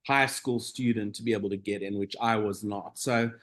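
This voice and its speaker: A middle-aged man from South Africa, a nurse being interviewed, talking in a relaxed, meandering way with frequent pauses. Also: on the low side. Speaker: guest, operating room nurse. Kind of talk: casual interview conversation.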